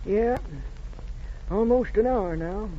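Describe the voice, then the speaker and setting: An actor playing a loyal, plain-spoken ranch hand in 1940s radio drama, folksy and insistent, with rustic grammar. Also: male voice, sits high, slow pace. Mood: earnest, insistent. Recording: muffled noisy old radio recording.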